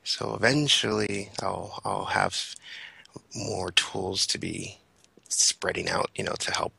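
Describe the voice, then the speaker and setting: Man, interview guest, very gravelly, husky, bright edge, informal reflective talk. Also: sits low, slow, dry recording, low-quality recording. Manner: informal, frequent "you know". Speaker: guest, metal singer.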